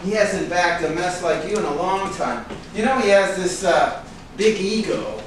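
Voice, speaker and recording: In a very echoey room, far from the mic, an actor plays a brash male film agent with a high-pitched voice. He is pushy and emphatic, hectoring and excitable in a theatrical stage-reading style. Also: measured pace. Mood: scolding, pushy. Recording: echoey room, distant mic, background hiss.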